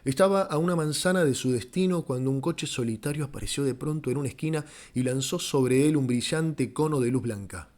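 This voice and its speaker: A male storyteller reads literary fiction for an audiobook. His voice sits at a middle pitch, and the delivery is scripted and dramatic. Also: a little fast, hardly any pauses, a little mellow. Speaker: audiobook narrator.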